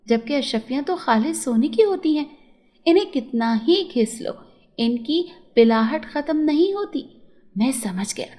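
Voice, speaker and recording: A woman's voice: sits high, darkish tone. A woman voicing a clever, earnest working boy in a storybook tale, explaining things carefully.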